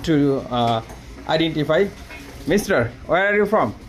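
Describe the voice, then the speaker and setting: A man from Bangladesh with a Bangladeshi accent, hosting a casual vlog in halting English full of fillers. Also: fairly high pitch, expressive, background noise. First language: Bengali. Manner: hesitant, many fillers, halting non-native English. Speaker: vlogger host. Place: busy coffee training room.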